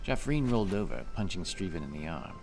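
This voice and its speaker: A man's voice: low-pitched, sing-song, fast, gravelly, music in the background. A man narrating a scripted fantasy story.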